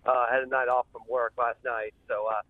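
A man's voice: medium pitch, level tone, fairly quick, dry recording. A man speaking as a columnist guest on a radio phone interview, chatty, rambling and emphatic. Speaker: sports columnist, show guest. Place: calling from a car. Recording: tinny, narrow phone line.

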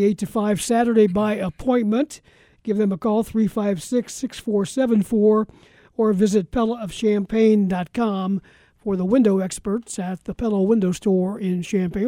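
A man's voice: sits high, dark tone, no echo. A man hosting radio sports talk in a casual style, moving between chat and sponsor reads. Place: radio studio.

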